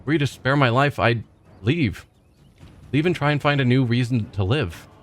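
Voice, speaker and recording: A man's voice: medium pitch, moderate pace, slight background noise, lightly acted. Man reading as a bitter wounded werewolf. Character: bitter wounded male werewolf loner, wry.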